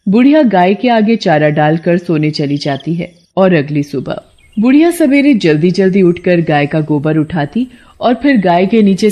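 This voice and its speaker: A woman narrates a cartoon folk tale as a storyteller. Her voice sits a little low, and she reads from a script. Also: dark tone.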